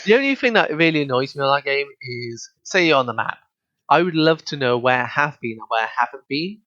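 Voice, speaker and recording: A man's voice: mid-range voice, animated, fairly quick, pushed and bright, no echo, dull audio. A young man co-hosting a podcast, hesitant and giggly as he explains things. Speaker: podcast co-host. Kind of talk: casual chat.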